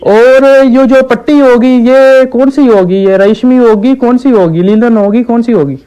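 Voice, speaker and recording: Man putting on a simple village shopkeeper, high clear voice, deadpan, hesitant, stubbornly naive, prank phone call. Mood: naively stubborn. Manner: slow-witted, hesitant, naively persistent. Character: dim, stubborn village shopkeeper ordering absurd medicine quantities. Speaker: prank caller.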